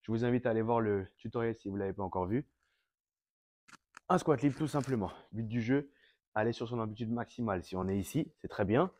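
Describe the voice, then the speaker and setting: Homme enrhumé, voix sombre et sèche. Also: male voice, fairly low pitch, brisk, darkish tone, dry recording. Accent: French. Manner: explains step by step, addresses viewers directly. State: has a cold, breathes through the mouth, gets out of breath fast. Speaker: fitness coach. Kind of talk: home workout instruction. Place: fitness studio.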